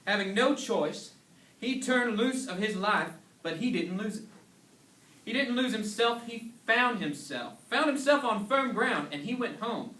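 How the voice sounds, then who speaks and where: A man with a high, sharp voice performs a dramatic sermon reading. He tells it as a story in emphatic short phrases. The sound is distant and echoey, as in a hall. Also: lively intonation, measured pace. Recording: echoey hall, recorded from far off.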